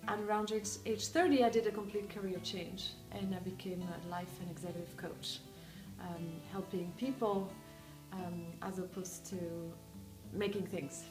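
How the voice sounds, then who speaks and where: A woman's voice: fairly low pitch, steady tone, moderate pace. Woman, thoughtful and hesitant, roomy echo, music playing behind. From France. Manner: reflective, hesitant with many ums. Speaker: life and executive coach. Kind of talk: interview talk. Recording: echoey room with music behind.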